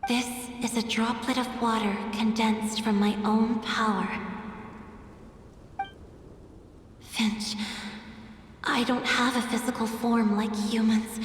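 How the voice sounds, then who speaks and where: A woman voicing a tender, wistful female water spirit in a video game, speaking lyrically and deliberately. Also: a little high, little pitch movement, a little hiss or hum, natural voice. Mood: soft, wistful. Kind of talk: video game voice acting, poetic recital and dialogue.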